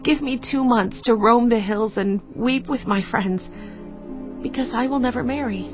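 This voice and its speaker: An actress playing a devoted, resigned young daughter in a dramatized audio Bible, earnest and pleading. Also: female voice, on the high side, measured pace, highs cut off, soft background music, close to an everyday voice. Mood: sorrowful acceptance.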